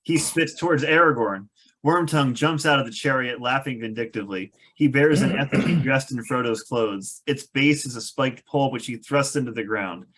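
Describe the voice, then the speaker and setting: A man's voice: medium pitch, normal speed, fairly bright tone, rough recording. A man reading stage directions aloud as narrator of a scripted table read.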